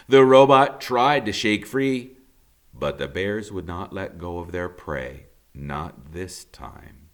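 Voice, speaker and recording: A man narrates a children's story with theatrical emphasis. His fairly low voice moves very slowly, swinging widely in pitch and pausing for suspense. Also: a bit bright. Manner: theatrical, emphatic, with dramatic pauses. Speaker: teacher reading a children's novel aloud.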